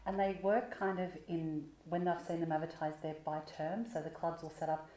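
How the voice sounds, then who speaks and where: A woman's voice: low-pitched, level tone, moderate pace, mellow, roomy sound, a little hiss or hum, low-quality recording. A woman co-hosting a radio talk show, asking chatty, enthusiastic questions. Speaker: co-host.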